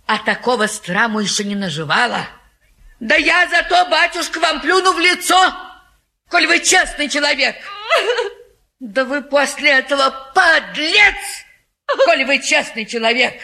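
Indignant scolding aunt, bright swooping voice. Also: female voice, sits high, heavily acted. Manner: theatrical, reproachful, folksy turns of speech. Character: indignant aunt in her fifties, scolding and scandalised. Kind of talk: classic Russian stage comedy acting.

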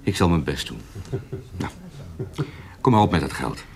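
An actor playing a steady, reassuring lover and adventurer in a historical audio drama. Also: male voice, extremely low pitch, normal speed, heavily acted. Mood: reassuring, resolute. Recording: clear background noise and clicks.

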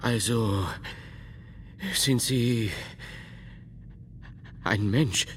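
An actor playing a solemn, wary, devout man in a radio drama, speaking formally and deliberately, with halting phrases. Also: on the low side, a little hiss or hum.

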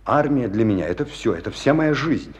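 A man's voice: mid-range voice, animated, dull audio, close to an everyday voice. Radio drama casting: male actor for a young army cadet, stubborn and earnest, widely swinging intonation. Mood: pleading, fervent. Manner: halting, earnest, swinging from subdued to fervent. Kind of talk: Russian radio play acting.

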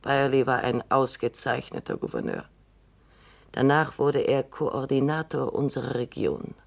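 A shrewd elderly robot psychologist. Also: female voice, rumbling low, exaggerated voice. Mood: wry. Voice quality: gravelly, measured and knowing. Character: shrewd elderly woman robopsychologist, dry and assured. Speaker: robot psychologist, Doctor Calvin. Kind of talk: radio drama acting.

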